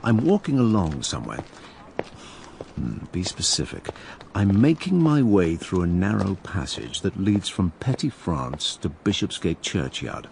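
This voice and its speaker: A man's voice: sits low, very animated, measured pace, gravelly, a little mellow, slight background noise, heavily acted. An actor playing a wry, cynical journal writer who muses aloud as he narrates a radio drama. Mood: musing, vivid. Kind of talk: dramatic first-person narration.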